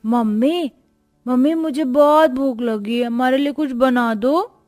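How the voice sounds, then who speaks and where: A woman's voice: high-pitched, a little slow, a little mellow, no room sound, studio quality, soft background music. A woman playing a whiny, insistent small child in a cartoon story. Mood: pleading.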